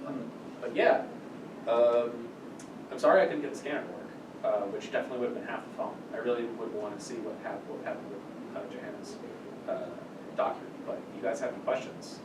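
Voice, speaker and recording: A man giving an informal technical explanation to a small group as a workshop presenter, often restarting and repeating his phrases. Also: fast. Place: small indoor meeting room. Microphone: far camera microphone. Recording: distant mic in echoey room, rumbly noise.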